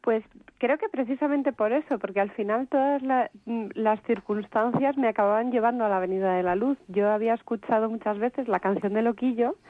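A woman's voice: fairly high pitch, a little fast, no echo. A young woman from La Mancha, speaking as a guest in a thoughtful, hesitant way. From La Mancha, Spain. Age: young adult. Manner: thoughtful, hesitant, with fillers and restarts. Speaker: interview guest, writer. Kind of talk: radio interview by phone. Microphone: phone. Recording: tinny phone line.

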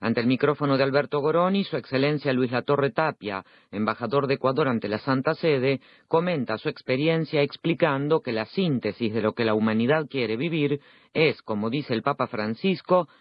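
A man reading a scripted radio news item in a measured, formal way. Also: medium pitch, dry recording. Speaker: news presenter. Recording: dry, slightly dull radio studio sound.

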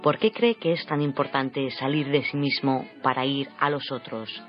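A woman's voice: very deep voice, steady tone, normal speed. Female radio reporter over music. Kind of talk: scripted report narration. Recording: slightly muffled with music behind.

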